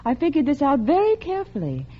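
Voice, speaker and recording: A woman's voice: high-pitched, huge pitch swings, quick pace. An actress playing a coldly calculating murderous wife who confesses and narrates her crime in a vintage radio drama. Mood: cold, resolute. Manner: composed, calculating. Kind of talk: 1940s-1950s American radio suspense acting. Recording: muffled old radio recording with music.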